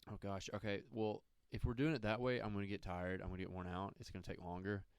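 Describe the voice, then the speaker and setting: A man from Mississippi co-hosting a podcast, chatting casually in a relaxed, rambling way and laughing often. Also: lowish voice, fast talker, clean tone, dry recording, clean studio sound. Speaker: podcast co-host. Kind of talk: casual chat.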